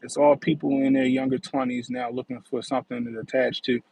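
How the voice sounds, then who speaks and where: A man's voice: mid-range voice, little pitch movement, a little fast, gravelly, darkish tone, a little hiss or hum, low-quality recording. A man in his thirties hosting a casual livestream, talking in a slangy, profane way and often asking "you know what I mean". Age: 30s. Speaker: livestream host.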